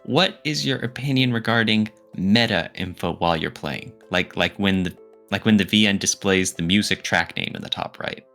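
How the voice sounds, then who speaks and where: A man co-hosting a podcast, chatting casually and informally about his opinions with animated reactions. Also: fairly low pitch, moderate pace, faint music behind. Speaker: podcast co-host.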